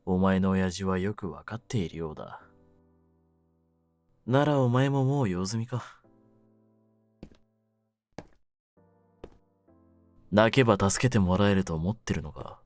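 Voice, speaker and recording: A man playing a jaded, domineering yet secretly tender young mafia kidnapper in an audio drama, speaking low in a rough, cool, deliberate way. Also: expressive, darkish tone, dry recording, soft background music, natural voice. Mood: cold, resigned. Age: young adult. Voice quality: low-pitched. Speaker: voice actor in a situation voice drama. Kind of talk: Japanese situation-voice audio drama addressed to the listener.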